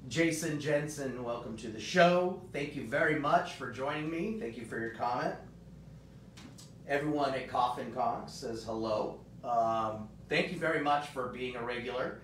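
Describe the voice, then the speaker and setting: Hoarse man, roomy and off-mic. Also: moderate pace, distant mic. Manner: upbeat, rambling, many fillers. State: hoarse, voice partly gone. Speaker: host of a live comic shop stream. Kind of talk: casual live-stream chat. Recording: roomy, off-mic, faint rumble.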